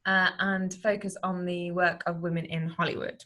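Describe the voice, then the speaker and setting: A woman's voice: lowish voice, little pitch movement, normal speed, bright, forceful tone. A woman hosting an interview show, speaking in an effusive, upbeat and chatty way. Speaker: show host.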